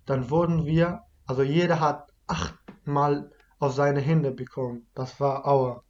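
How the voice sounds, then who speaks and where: Young man as interviewee, halting and earnest, mid-pitched, a little lo-fi. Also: steady tone, a little mellow. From Iraq. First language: Kurdish. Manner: hesitant, many restarts and fillers. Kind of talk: casual conversation.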